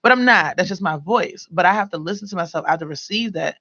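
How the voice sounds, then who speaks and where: Young woman guest, mellow and gravelly, rambling studio advice, dry lo-fi desk mic. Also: fairly low pitch, fast talker, no room sound. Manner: loose, rambling delivery with many fillers. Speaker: guest, radio and podcast producer. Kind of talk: casual advice in a studio interview. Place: small radio studio. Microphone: desk microphone.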